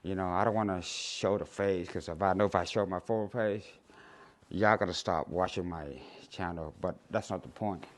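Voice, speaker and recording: A man in his sixties from Cambodia with a Cambodian accent, rambling and halting to his viewers, with many fillers and restarts. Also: low voice. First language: Khmer. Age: 60s. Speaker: YouTuber. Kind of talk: casual vlog to camera.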